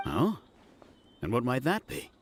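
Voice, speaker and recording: A man's voice: medium pitch, huge pitch swings, measured pace, very noisy. An actor playing a grateful, eager antiques dealer in a video game, speaking politely like an obliging salesman. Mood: eager, proud. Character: grateful, eager male antiques supplier and treasure seeker.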